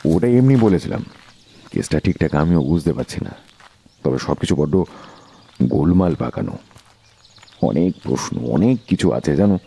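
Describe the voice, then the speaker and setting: A man playing a calm, authoritative detective in an audio drama, questioning in a measured, polite but blunt way. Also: rumbling low, vocal fry, husky, full character voice. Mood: pensive.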